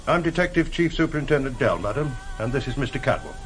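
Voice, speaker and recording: An actor playing a blunt, wry police detective in a radio drama, with a dry, sardonic manner. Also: male voice, mid-range voice, expressive, quick pace, soft background music, natural voice. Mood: brisk, genial. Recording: noisy vintage radio drama tape.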